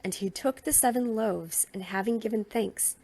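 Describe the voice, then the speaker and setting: A woman reading a Bible narrative aloud in an expressive storytelling way. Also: mid-range voice, normal speed, rough recording. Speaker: scripture reader.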